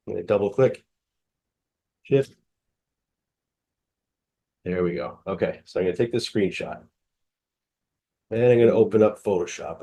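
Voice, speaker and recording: A man with a cold who sounds nasal, walking through software on a screen share in a casual, hesitant way full of fillers. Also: fairly low pitch. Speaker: software demonstrator.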